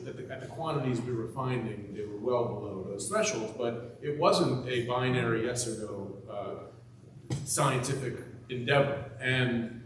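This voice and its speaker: A man with a loud voice talks casually on a panel, dropping self-deprecating asides between restarts. He sounds far from the microphone in a very echoey event hall, with a faint ambient hum behind him. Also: medium pitch, unhurried. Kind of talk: conversational panel talk. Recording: echoey room, far from mic.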